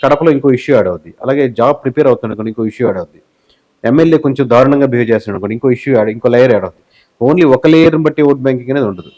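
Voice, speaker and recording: Male Telugu interviewee, analyst type. Rapid-fire, explanatory delivery, medium pitch, English phrases mixed into his answers. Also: lively intonation, warm tone, rough recording. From Telugu-speaking southern India. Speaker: guest, election survey company head.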